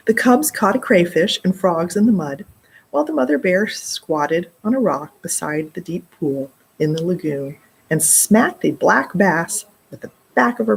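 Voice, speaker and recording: Expressive middle-aged woman, slow storytime reader. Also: on the low side, creaky, a little hiss or hum, rough recording. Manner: expressive, explaining words and asides to young listeners. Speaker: children's librarian reading aloud. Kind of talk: read-aloud storytime with casual asides. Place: indoor library children's room.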